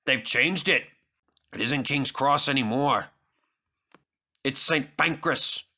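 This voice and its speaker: A man's voice: medium pitch, slow, sharp tone, heavily acted. A man voicing a big, proud, grumbling steam engine in a matter-of-fact way. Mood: sad.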